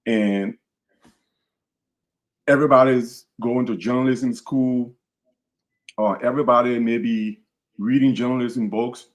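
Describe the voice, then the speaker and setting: A man's voice: medium pitch, fairly even intonation, measured pace, pauses often. A man from Liberia with a Liberian accent, hosting a podcast in an earnest, repetitive, casual way. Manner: earnest, repeats words and restarts phrases. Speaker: podcast host.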